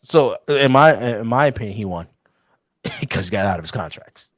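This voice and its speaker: Animated man co-hosting a podcast. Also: fairly low pitch, moderate pace, no room sound, clean studio sound. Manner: animated, teasing, chuckles often. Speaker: podcast co-host. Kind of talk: casual sports chat.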